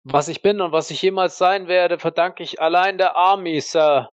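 A man voicing a defensive, dutiful army sniper who speaks haltingly and with rigid military formality in a roleplay audio drama. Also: high-pitched, no echo, pitched above the performer's own voice, lightly acted. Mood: strained, defensive.

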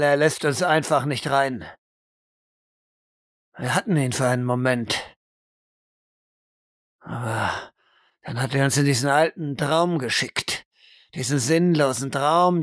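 A woman's voice: deep voice, no echo, clean studio sound, pitched below the performer's own voice. A woman playing a frustrated interrogator in an audio roleplay, terse and exasperated. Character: frustrated interrogator probing a prisoner's dreams.

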